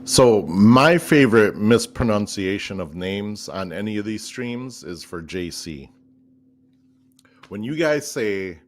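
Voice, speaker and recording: A man in his fifties chatting easily as a co-commentator on a darts stream. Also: lowish voice. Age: 50s. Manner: chatty, easygoing storyteller. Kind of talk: casual chat on a stream.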